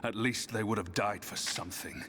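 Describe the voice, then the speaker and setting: Male actor as young soldier, forceful, animated delivery, long reverb, earnest game drama. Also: on the low side, moderate pace, a little hiss or hum, natural voice. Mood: bitter, defiant. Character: earnest young soldier hero, intense, burdened. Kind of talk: dramatic video game acting. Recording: long reverb, faint music behind.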